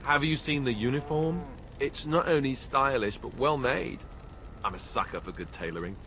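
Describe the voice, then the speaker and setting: A man's voice: medium pitch, normal speed, fairly bright tone, slight background noise, lightly acted. An actor playing an earnest, self-deprecating young male warrior in a video game, with a wry, hesitant manner. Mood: wry, mocking. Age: young adult. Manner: wry, sarcastic, awkwardly hesitant. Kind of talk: video game dialogue acting. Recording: music behind game dialogue.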